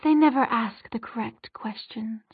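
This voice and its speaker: Woman playing a haughty, high-voiced fairy queen. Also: moderate pace, husky, no room sound, narrow, tinny audio. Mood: cool, calculating. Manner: imperious, formal, gloating.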